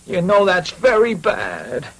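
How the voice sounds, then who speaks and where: A man's voice: high-pitched, wildly swooping pitch, takes it slowly, exaggerated voice. An actor playing a kindly old woodcarver and father, muttering and exclaiming as he gets exasperated, in a cartoon dub. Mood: indignant. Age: older. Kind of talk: animated cartoon dub acting. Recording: music behind the voice.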